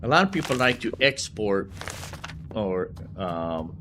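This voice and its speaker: A man's voice: lowish voice, big pitch swings, measured pace, background noise. A man hosting a gaming video, giving casual, informal commentary and explaining as he plays. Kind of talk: casual let's play commentary. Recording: game music underneath.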